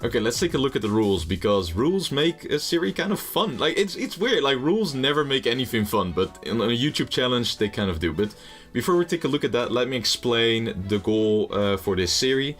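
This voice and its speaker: Rambling gaming commentator. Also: male voice, mid-range voice, lively intonation, fairly quick, faint music behind. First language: Dutch. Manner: rambling, jokey, swears casually; nervous first-video energy. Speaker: gaming YouTuber. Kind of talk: casual game commentary.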